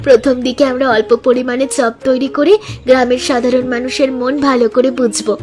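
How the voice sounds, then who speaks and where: Woman acting a high-voiced, earnest village housewife. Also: lightly acted. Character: hardworking, hopeful village housewives starting a food business. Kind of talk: scripted animated story dialogue.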